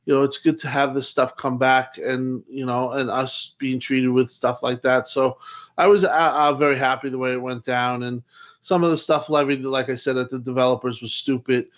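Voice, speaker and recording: A man's voice: medium pitch, little pitch movement, moderate pace. A man talking on a gaming podcast panel, rambling with lots of "you know" fillers and restarts. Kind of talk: casual chat.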